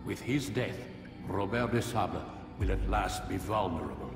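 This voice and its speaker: An actor playing an aged, commanding assassin master in a video game, speaking as a grave, oratorical mentor. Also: male voice, low-pitched, lively intonation, moderate pace, reverberant, big-room sound, far from the mic, over music. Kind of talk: video game cutscene dialogue.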